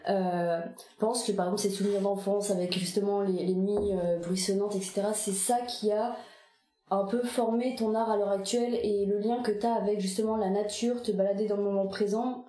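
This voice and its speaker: Woman, interviewer, informal hesitant questions, mellow voice, echoey distant sound. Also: medium pitch, steady tone, moderate pace.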